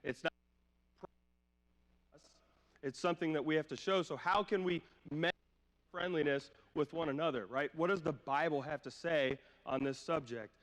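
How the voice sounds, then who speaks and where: Male preacher, higher-pitched voice a touch under the weather, informal and questioning, heard in a long-reverb church hall. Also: normal speed, long pauses, a bit bright. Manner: informal, checks in with listeners with rhetorical questions. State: slight cough, voice a little off from a cold. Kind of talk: conversational sermon. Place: church hall during a live-streamed service.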